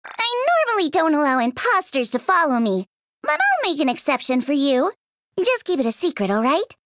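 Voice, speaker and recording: A woman's voice: sits high, very animated, moderate pace, fairly bright tone, no room sound, clean studio sound. A woman voicing a cocky young female android shield soldier in a game, taunting and teasing with frequent laughs. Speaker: voice actress. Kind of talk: game character voice lines. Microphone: studio mic.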